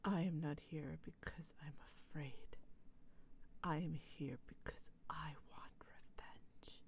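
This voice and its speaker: A woman playing a proud, brooding female vampire in an ASMR role play, speaking in breathy, solemn, formal phrases. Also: low voice, exaggerated voice.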